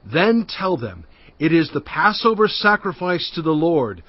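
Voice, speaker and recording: An actor playing an earnest Hebrew leader who gives emphatic instructions to the elders. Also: male voice, fairly high pitch, sing-song, a little slow, no echo. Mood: commanding. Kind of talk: dramatized scripture reading. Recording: dry, dull audio.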